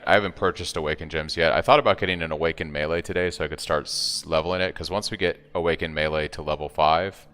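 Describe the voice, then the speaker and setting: Deep, soothing male streamer. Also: smooth. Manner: relaxed, thinks aloud, reads and answers chat. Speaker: game streamer. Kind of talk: casual live-stream commentary. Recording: long reverb, faint music behind.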